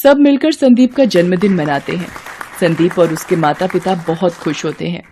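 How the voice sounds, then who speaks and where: A woman's voice: fairly low pitch, moderate pace, warm tone, a faint crowd, a little hiss or hum. A woman reading scripted narration for a moral bedtime story.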